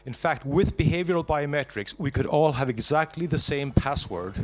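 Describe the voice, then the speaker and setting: Punchy Scottish man pitching live. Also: moderate pace. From Scotland. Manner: jokey, punchy, asks and answers own questions. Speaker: startup presenter pitching. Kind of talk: live startup pitch to an audience.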